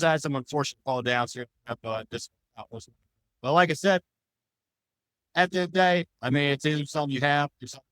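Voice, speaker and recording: A man's voice: medium pitch, fairly bright tone, clear, resonant tone, no room sound, low-quality recording. A man talking casually as a podcast guest, rambling along in loosely worded sentences. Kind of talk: casual interview chat.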